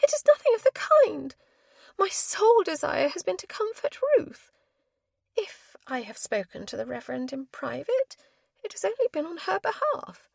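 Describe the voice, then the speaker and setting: A woman putting on a shrill, prim old-fashioned spinster. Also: animated, a little fast, vocal fry, a little mellow, no room sound, clean studio sound. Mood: indignant, shrill. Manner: formal, genteel and high-strung. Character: prim, nervous spinster woman, prone to shrieking.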